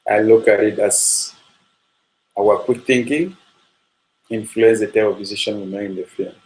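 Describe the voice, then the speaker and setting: A man speaks in a low voice that barely rises or falls. He is a football coach, talking at a steady, measured pace with frequent ums and uhs, and he keeps circling back to the same points. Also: low-quality recording. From Nigeria. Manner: measured, repetitive, with frequent fillers. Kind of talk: spoken coaching talk.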